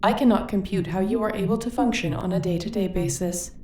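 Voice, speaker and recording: A woman's voice: medium pitch, brisk, mellow, natural voice. A woman voicing a literal, formal ship's AI in a sci-fi audio drama, with precise, dryly loyal speech. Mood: dry, matter-of-fact. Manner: formal, precise wording without contractions. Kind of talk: scripted sci-fi audio roleplay. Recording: roomy, distant, faint background noise.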